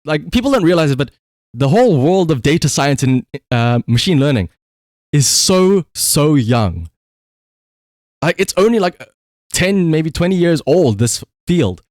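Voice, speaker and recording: A man's voice: on the high side, sing-song, unhurried, a little mellow, dry recording, studio quality. A man giving casual livestream commentary in a rambling, conversational, emphatic way, as the host talking to viewers.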